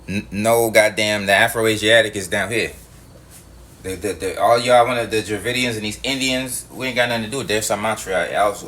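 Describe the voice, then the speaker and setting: A man's voice: fairly low pitch, fairly bright tone, a little hiss or hum. A man delivering a heated monologue to his viewers, emphatic and profane, repeating his points. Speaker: video host.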